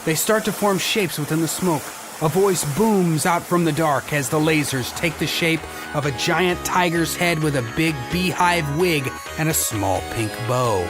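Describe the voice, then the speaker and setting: A man's voice: on the high side, a little slow, music in the background. A man giving scripted, dramatic story narration for an audio drama.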